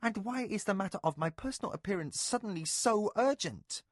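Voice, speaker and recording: A man's voice: high-pitched, very animated, moderate pace, no room sound, studio quality, voice pushed up. A man voicing a young noblewoman who leads a household, speaking sharply and with command.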